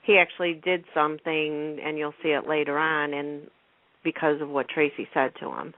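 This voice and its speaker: A woman's voice: sits low, fairly even intonation, moderate pace, dry recording. A woman radio host in casual, chatty fan talk, rambling as she tells things. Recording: thin, phone-like radio line.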